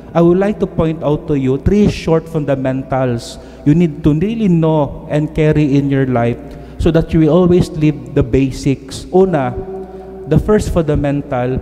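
A man's voice: a little high, dark tone. A middle-aged Filipino man, a priest giving an earnest, emphatic homily at a stand mic in a large church, switching between languages. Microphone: stand mic at pulpit. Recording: reverberant church hall.

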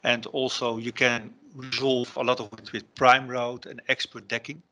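Dutch-accented man presenting with a bright tone. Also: mid-range voice, flat delivery, normal speed. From Netherlands. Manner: hesitant, many ums and restarts, non-native phrasing. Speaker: conference presenter, terminal IT director. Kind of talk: online conference presentation. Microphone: headset on video call.

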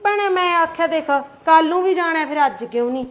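A woman's voice: very high voice, animated, a little fast, lo-fi sound. A woman, acting an aggrieved sister-in-law who complains and taunts with rhetorical questions in a family skit. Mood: sulky. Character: aggrieved Punjabi aunt, taunting and sulky.

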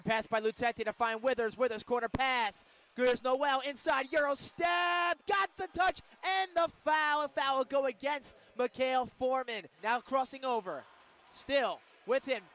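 A man doing live radio play-by-play of basketball, excitable and breaking into shouts on big plays. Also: very high voice, unhurried. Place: indoor college basketball arena.

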